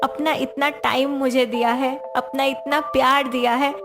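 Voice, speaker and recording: A woman voicing a gentle devoted young wife. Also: high voice, steady tone, a little slow, over music. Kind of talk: storybook voice acting.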